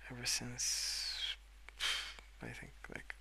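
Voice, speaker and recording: A young adult man softly rambling in a breathy half-whisper for ASMR, relaxed and unhurried, close to the microphone. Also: rough recording. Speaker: ASMR video creator. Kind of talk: soft-spoken ASMR rambling while colouring.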